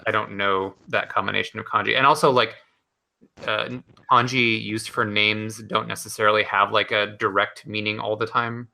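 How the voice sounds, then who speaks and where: Gravelly-voiced man chatting enthusiastically. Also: fairly low pitch, level tone, moderate pace, pushed and bright, rough recording. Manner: enthusiastic, rambling with many fillers. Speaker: podcast co-host. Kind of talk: casual chat.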